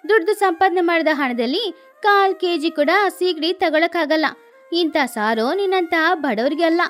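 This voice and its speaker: A snooty rich parrot lady, put on by a woman narrator in a cartoon bedtime tale. She speaks high and snappish, full of boastful scorn, with faint music behind. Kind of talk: children's animated bedtime story.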